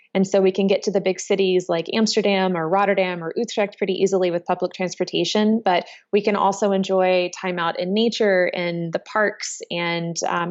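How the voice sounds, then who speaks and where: A woman in her thirties from Chicago, answering interview questions in a relaxed, thoughtful way with many ums. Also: on the low side. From Chicago, United States. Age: 30s. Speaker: interviewee, entrepreneur. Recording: dull lo-fi sound.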